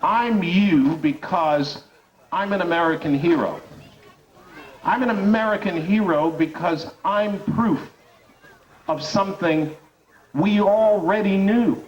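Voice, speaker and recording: Man, radio talk host, rousing public speech, slow deliberate pace, fairly high voice, repetitive emphatic build-ups, dull recording from some distance. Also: a little hiss or hum.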